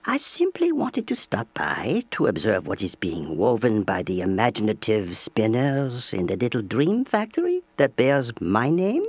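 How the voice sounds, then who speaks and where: An actress playing a worldly, dreamy bohemian woman, speaking in a theatrical, measured, wistful way in an audio play. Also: rumbling low, wildly swooping pitch, a little mellow, dry recording, full character voice. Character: worldly, well-travelled dreamy French bohemian woman, a studio's muse. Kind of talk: scripted audio play banter.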